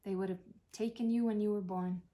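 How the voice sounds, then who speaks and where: A woman reading a play as a dying older mother, wry, tender and apologetic, with a gentle, reflective way of talking. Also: mid-range voice, fairly even intonation, fairly quick, close to an everyday voice. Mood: tender regret.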